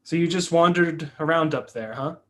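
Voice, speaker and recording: A man acts out a cautious, buttoned-up screenwriter in a stage drama. His voice sits a little high for a man. He is polite but guarded and hesitant, with exasperation close beneath. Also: moderate pace, fairly bright tone, lightly acted. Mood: curious. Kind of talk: play reading, stage drama dialogue.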